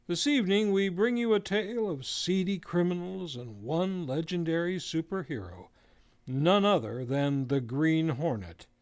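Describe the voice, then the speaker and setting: A man's voice: on the high side, expressive, measured pace. A man hosting a live old-time radio show, introducing the cast in a formal announcer manner. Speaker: radio play host. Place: local community theater stage. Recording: live show with guitar music behind.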